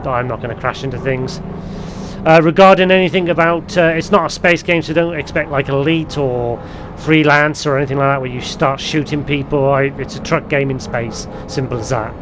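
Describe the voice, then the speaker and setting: A man's voice: medium pitch, moderate pace, noisy background. A man hosting a casual gameplay tutorial, explaining things in a rambling way. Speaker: gaming video host.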